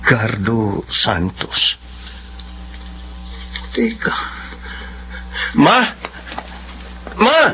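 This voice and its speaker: A man's voice: medium pitch, wildly swooping pitch, drawn-out words, pauses often, full character voice. A Filipino man playing a guarded, serious young student searching for his father, in a radio drama. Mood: startled, urgent. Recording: muffled radio drama, faint background noise.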